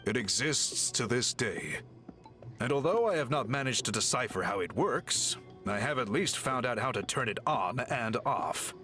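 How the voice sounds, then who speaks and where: Learned male scroll scholar; formal, patient explanations with an animated lilt over soft game music. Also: medium pitch, moderate pace. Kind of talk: game dialogue.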